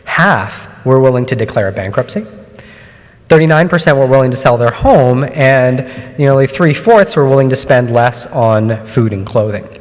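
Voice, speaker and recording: A man, an oncologist, gives a conference talk in a measured, explanatory way, often pausing on ums and restarting phrases. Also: medium pitch. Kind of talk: conference presentation. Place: lecture hall. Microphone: microphone at a lectern. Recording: long hall reverb.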